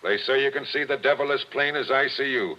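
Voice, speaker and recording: A man's voice: mid-range voice, fairly quick, close to an everyday voice. Give me a shrewd police captain with a lilt, blunt and wry, who presses every point , as a man acts him out. It sounds like a muffled, dull old radio broadcast. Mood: pressing. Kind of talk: 1940s radio drama acting.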